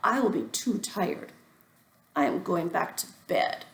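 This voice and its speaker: Lady putting on a grumpy sleepy toad guy for a kids story, whiny, creaky, roomy sound. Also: fairly low pitch, lively intonation, unhurried. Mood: sleepy, reluctant. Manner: grumbling, whiny, reluctant. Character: grumpy, sleepy male toad who wants bed. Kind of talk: children's storybook read-aloud.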